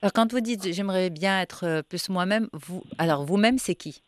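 A woman's voice: lowish voice, normal speed, no echo. A woman interviewer asking probing, reflective questions in a conversational way, searching for her words.

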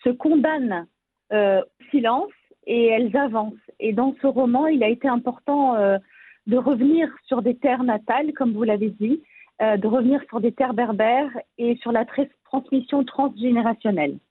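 A woman author gives thoughtful, measured interview answers, pausing often to find her words. Her voice sits a little high with a husky edge. It comes through a tinny, phone-like remote line. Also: dry recording. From France, Moroccan Berber family. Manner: measured, thoughtful, frequent hesitations.

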